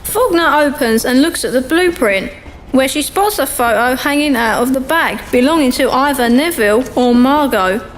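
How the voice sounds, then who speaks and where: A woman narrates a radio play from a script. Her voice is high-pitched. Some noise can be heard behind it. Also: expressive, normal speed, rough recording. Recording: noticeable background noise.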